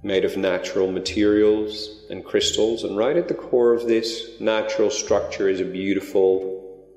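A man leading a guided meditation in a slow, gentle, drawn-out way. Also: lowish voice, steady tone. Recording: roomy reverberant recording with soft music. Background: new-age music.

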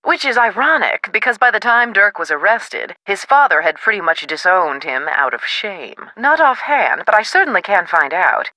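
A high-spirited, self-promoting novelist and history buff in a video game. The voice is crisp and bright, chatty and sure of itself. It swings widely in pitch, with a theatrical flourish, and sounds close and dry. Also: big pitch swings, moderate pace, hardly any pauses, dry recording, rough recording. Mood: chatty, proud. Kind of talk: video game character dialogue.

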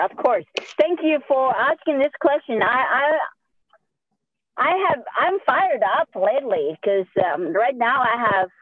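A woman with a Sichuan Chinese accent, a native Sichuanese speaker, giving emphatic motivational advice as a real estate agent on a group call. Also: fairly high pitch. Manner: emphatic, self-affirming. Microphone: video call. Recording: narrow-band phone or video call audio.

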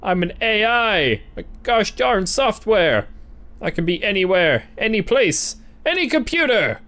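Young man with very lively pitch. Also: unhurried. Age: young adult. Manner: playful, reactive. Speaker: gaming video host. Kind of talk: casual let's-play commentary, reading game text aloud.

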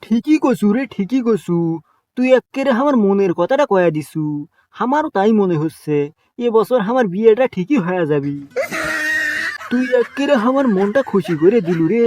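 A man with a Bogura accent voicing a gruff male shopkeeper in a cartoon, who talks in a scolding way and is touchy about his bald head. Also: sits high, animated, moderate pace, darkish tone, slight background noise. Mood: pleased. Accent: Bogura dialect of Bangla.

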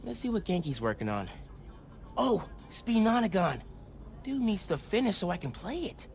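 A man's voice: sits high, very animated, normal speed, faint music behind. A man playing an earnest, upbeat teenage boy superhero with an American accent, thinking aloud in a casual, youthful way in a video game. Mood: casual musing. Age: teens. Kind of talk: video game character acting, casual self-talk.

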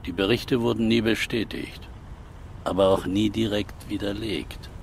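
An actor playing a seasoned older police detective in a crime radio drama, speaking in a deliberate, thoughtful way. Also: male voice, lowish voice, fairly even intonation, gravelly, a little hiss or hum. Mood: pensive, sober.

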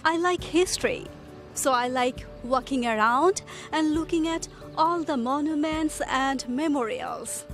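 A woman's voice: high voice, unhurried, a bit bright, soft background music. A young woman from Bangladesh answering interview questions outdoors in friendly, simple sentences. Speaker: tourist interviewee. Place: outdoors in a city.

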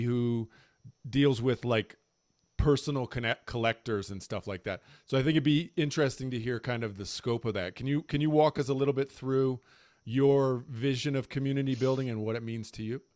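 A man's voice: medium pitch, no echo, clean studio sound. Chummy man hosting a video panel. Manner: upbeat, chummy, loose with fillers. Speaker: panel host. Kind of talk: casual video-call panel moderation. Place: home room with shelves. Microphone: large desk mic on video call.

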